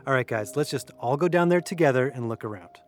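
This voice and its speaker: A man's voice: mid-range voice, expressive, quick pace, soft background music, lightly acted. An actor playing a level-headed man who keeps the peace, speaking in a reasoning, conciliatory way in an audio drama. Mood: reasonable, reassuring. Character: level-headed male peacemaker in a paranormal group.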